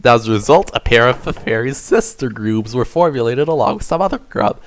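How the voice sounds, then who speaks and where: A man's voice: mid-range voice, animated, brisk, a little hiss or hum. A man reading comedic fan fiction as narrator, in a melodramatic, emphatic, mock-serious way.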